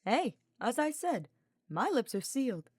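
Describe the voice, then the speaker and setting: A woman's voice: fairly high pitch, sing-song, a little slow, breathy edge, dry recording, studio quality, voice pushed up, full character voice. Woman voicing a cheeky, teasing young male knight. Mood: friendly. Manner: teasing, slangy, casual.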